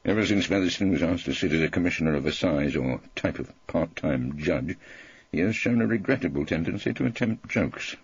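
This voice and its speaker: A man's voice: sits low, lively intonation, brisk, slightly rough, no room sound, highs cut off. A man reading a wry first-person story as an audiobook narrator.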